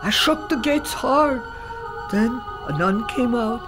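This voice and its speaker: A woman playing a weary refugee father who tells his daughter about her birth, hushed and grave, slipping German words into his talk. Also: on the high side, animated, slow. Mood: tender, grave. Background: music.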